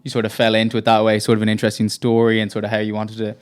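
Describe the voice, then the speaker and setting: A man's voice: fairly low pitch, monotone, racing, clear, resonant tone. A man hosting a podcast and interviewing a guest in a friendly, casual way, often giving short acknowledgements. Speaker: podcast host.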